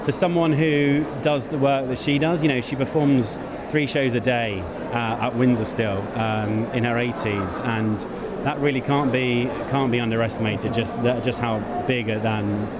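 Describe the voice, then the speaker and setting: A man with a mid-pitched voice gives unscripted interview answers. His phrasing is halting and hesitant, full of pauses. He is recorded backstage with clear noise behind him. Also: fairly even intonation. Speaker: awards event producer being interviewed. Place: backstage at an events venue. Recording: noisy busy backstage location.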